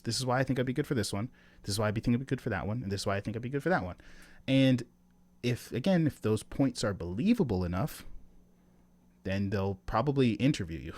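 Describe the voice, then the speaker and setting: A man's voice: mid-range voice, dry recording. A man hosting a sales support call, answering questions in a loose, rambling way with lots of ums and asides. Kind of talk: casual Q&A coaching on a group call. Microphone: video call.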